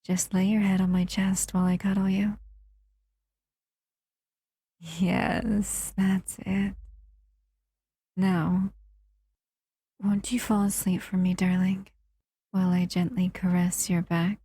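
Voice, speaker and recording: A woman's voice: fairly low pitch, flat delivery, takes it slowly, long pauses, mellow, breathy edge, no room sound. A woman voicing a captive female shadow monster who soothes and cuddles the listener in a close, intimate audio roleplay. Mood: tender, soothing. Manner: soothing, intimate, often whispering.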